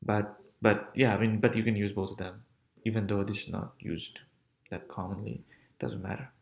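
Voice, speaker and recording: A young man in his twenties teaching a casual language lesson, repeating phrases slowly with relaxed, chatty asides. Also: lowish voice, gravelly. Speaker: language tutor.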